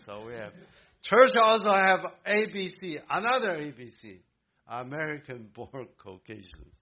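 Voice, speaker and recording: Slow, bright-voiced man preaching. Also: fairly high pitch, very animated, dull audio. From Korea. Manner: emphatic, with halting restarts. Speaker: pastor. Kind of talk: sermon.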